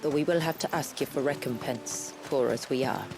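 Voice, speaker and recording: An actress playing a steadfast village elder and guide in a video game, speaking in formal, measured, archaic phrases. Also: female voice, a bass voice, over loud music. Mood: composed, courteous. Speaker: village elder guiding travelers. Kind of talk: video game cutscene acting.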